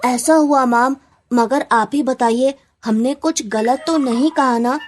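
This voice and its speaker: A woman's voice: sits high, slow, slight background noise. A woman voicing an earnest, anxious young schoolchild in a children's cartoon. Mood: worried, guilty.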